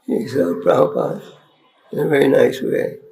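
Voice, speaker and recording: This is an older man giving a ceremonial address. His voice is soft and worn, with a heavy gravelly rasp and a mellow, dark tone. He speaks in short, halting phrases and leaves room for a translator after each one. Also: medium pitch, rough recording. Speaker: swami giving initiation.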